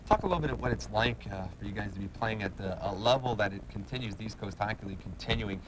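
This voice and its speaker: Fast-talking male sports host, noisy recording. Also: on the low side. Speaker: sports show host and play-by-play announcer. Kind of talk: sports television interview. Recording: clearly noisy background.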